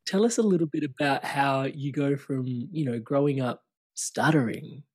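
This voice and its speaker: Male podcast host, enthusiastic and rambling, mid-pitched. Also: normal speed. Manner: warm, effusive, rambling. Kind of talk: podcast interview.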